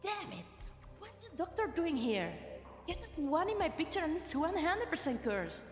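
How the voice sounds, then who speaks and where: A woman's voice: very high-pitched, sing-song, moderate pace, soft background music. A woman playing a brash, cocky, menacing young female mercenary in an amateur game fan dub, with a sardonic, teasing manner. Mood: indignant, irritated. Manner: sardonic, foul-mouthed, teasing.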